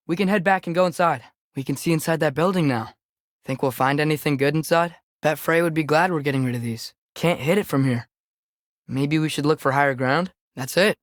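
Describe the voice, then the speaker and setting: A man's voice: on the high side, fairly quick, no room sound, close to an everyday voice. Young man as earnest teenage boy game adventurer, dry sound. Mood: curious, observant. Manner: earnest, inquisitive, natural phrasing. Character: earnest, curious teenage boy adventurer travelling with his father. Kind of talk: video game voice acting, companion dialogue.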